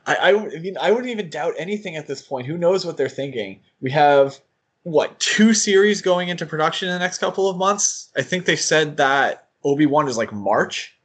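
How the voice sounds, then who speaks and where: A man's voice: a little high, expressive, moderate pace, a bit bright, husky. A man hosting a podcast in a casual, conversational chat style. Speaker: podcast host.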